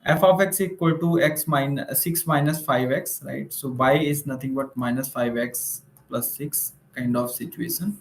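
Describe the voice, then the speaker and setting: A man's voice: medium pitch, fairly even intonation, unhurried, hardly any pauses, slight background noise. A man with an Indian accent teaching maths in an informal online lecture, explaining step by step and often checking in with short tag questions. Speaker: maths teacher for exam preparation. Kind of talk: informal online lecture, working through problems. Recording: roomy, echoing room sound.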